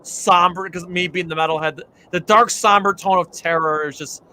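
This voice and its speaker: A high, bright-voiced man hosts a casual podcast chat, rambling excitedly with frequent restarts. A faint hiss sits under his voice. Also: moderate pace. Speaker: podcast host.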